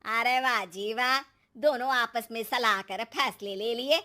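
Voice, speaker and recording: Woman acting middle-aged cartoon mother-in-law, bossy, sarcastic, nagging, bright tense voice, slightly high. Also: unhurried. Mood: angry, sarcastic.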